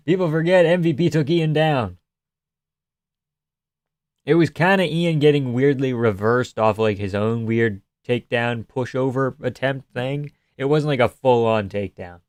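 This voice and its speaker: Young canadian guy on a live stream, sarcastic, swears a lot, smooth voice, dry close mic. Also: medium pitch, measured pace, pauses often, darkish tone, no echo. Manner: casual, sarcastic, swears freely. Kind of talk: live stream fight chat reading viewer comments.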